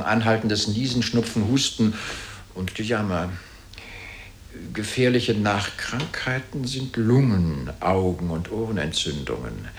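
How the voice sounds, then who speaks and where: An actor playing a halting, pedantic medical expert who recites facts in a radio play, with many hesitations. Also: male voice, on the low side. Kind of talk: lecture-like radio play monologue.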